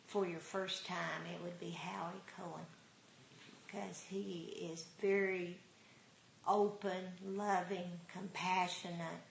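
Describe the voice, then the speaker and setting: A woman dharma teacher talking casually with a meditation group, unhurried and laughing often. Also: fairly low pitch, creaky. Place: meditation hall. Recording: roomy hall with faint noise.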